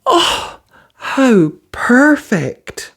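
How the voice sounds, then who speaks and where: A woman's voice: medium pitch, big pitch swings, normal speed, a little mellow, breathy edge, pitched above the performer's own voice. A woman voicing Cinderella, a kind, clever, timid young woman, in a storybook reading. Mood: eager. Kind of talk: storybook read-aloud.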